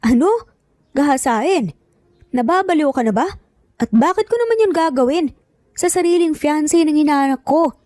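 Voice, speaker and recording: A woman's voice: high-pitched, normal speed, long pauses, warm tone, dry recording, voice pushed up, close to an everyday voice. A woman voicing a menacing, scornful older uncle, the villain of an audio romance, with a sneering, indignant manner. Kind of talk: dramatised audio romance storytelling.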